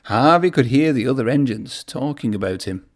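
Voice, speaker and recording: A man's voice: mid-range voice, lively intonation, moderate pace. A man reading a children's story aloud in a narrating style. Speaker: storybook reader.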